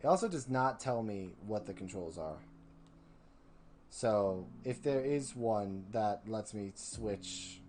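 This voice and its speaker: A young man casually commenting while playing a video game on a live stream, rambling, swearing and thinking out loud. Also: lowish voice, lively intonation, takes it slowly, slight background noise.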